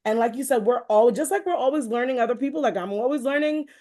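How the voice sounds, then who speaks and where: A woman podcast host with a fairly high voice. She speaks in an encouraging, emphatic manner, circling back on phrases as she shares faith-based motivation in a conversational style. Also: expressive, quick pace, a little mellow.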